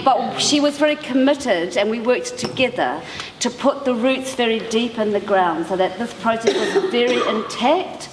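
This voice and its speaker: A high-voiced woman tells the story of a project earnestly and with emphasis. She is in a reverberant hall with clear background noise. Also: moderate pace. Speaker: arts project leader.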